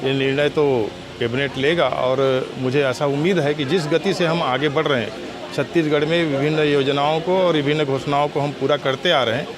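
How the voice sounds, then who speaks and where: Male legislator, slightly high-pitched, formal and diplomatic in interview, with a crowd behind him. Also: fairly even intonation, normal speed. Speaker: politician, state legislator. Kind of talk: formal answers to a reporter.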